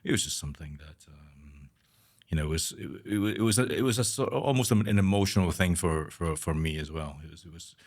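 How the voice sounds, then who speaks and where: A man from Scotland with a very low, deep voice, speaking as an interview guest. He talks hesitantly, repeating words and trailing off to a near murmur at the ends of his thoughts. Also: brisk. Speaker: guest architect. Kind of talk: conversational interview.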